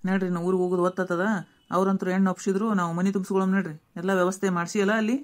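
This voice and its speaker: A woman with a North Karnataka accent playing a blunt, exasperated middle-aged relative in a family skit, brisk and scolding. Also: medium pitch, level tone, natural voice.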